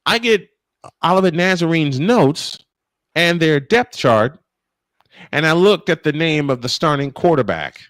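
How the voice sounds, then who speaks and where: A man calling live baseball play-by-play and telling stories in an animated, emphatic way, stretching phrases for drama. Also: on the high side, unhurried, dry recording. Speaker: play-by-play sportscaster. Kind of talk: live baseball commentary mixed with animated storytelling.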